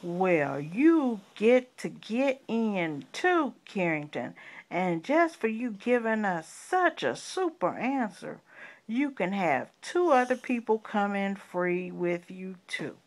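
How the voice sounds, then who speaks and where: A middle-aged woman, a grandmother improvising a children's story with long pauses and coaxing questions. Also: medium pitch, very animated. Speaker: grandmother telling a bedtime story.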